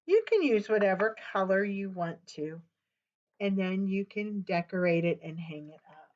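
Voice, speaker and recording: An older woman who works as a children's librarian, reading a story aloud in an animated, sing-song way, recorded on a webcam in a small office. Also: medium pitch, normal speed. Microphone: webcam at desk. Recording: dull webcam audio.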